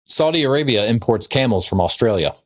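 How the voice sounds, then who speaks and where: A man's voice: mid-range voice, lively intonation, a little fast. A man co-hosting a podcast, reading out trivia and cracking jokes in a dry, casual way. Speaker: podcast co-host.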